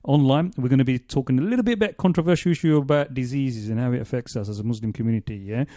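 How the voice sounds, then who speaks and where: A man hosting a radio interview in a studio, asking long, halting questions full of restarts. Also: mid-range voice, dark tone, dry recording. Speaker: radio show host.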